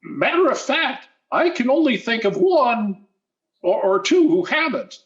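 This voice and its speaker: A man's voice: very high voice, big pitch swings, a little slow, highs cut off, exaggerated voice. A man playing a wheedling teenage schoolboy in old-time radio sitcom style, stammering and mock-modest. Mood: eager hinting. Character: wheedling teenage schoolboy, eager, guileless-sounding schemer.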